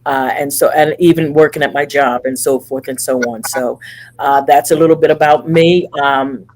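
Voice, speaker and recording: A woman's voice: low-pitched, fairly even intonation, normal speed, darkish tone, a little hiss or hum. A woman from New Jersey, a co-host, speaking plainly with many ums and uhs. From Camden, New Jersey. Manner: frequent fillers, plainspoken. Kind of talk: casual self-introduction.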